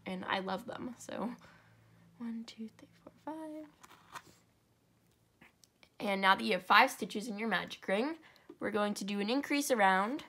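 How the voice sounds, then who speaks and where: A woman's voice: mid-range voice, gravelly, fairly bright tone, a little hiss or hum. Congested young woman teaching a craft. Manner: chatty, rambling, self-correcting asides. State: congested, stuffy nose. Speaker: craft tutorial host. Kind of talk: step-by-step crafting tutorial.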